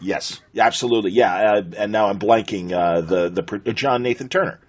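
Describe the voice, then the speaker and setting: Low-pitched American man hosting an interview. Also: fairly quick. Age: middle-aged. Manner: enthusiastic, rambling reminiscence. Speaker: podcast host interviewer. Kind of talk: casual interview chat.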